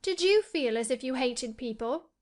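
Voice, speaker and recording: A woman performs a frail young boy from a storybook. His voice is pitched high, well above an adult's, with a plaintive, highly-strung eagerness. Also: animated, normal speed. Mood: wistful. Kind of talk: acted storybook dialogue.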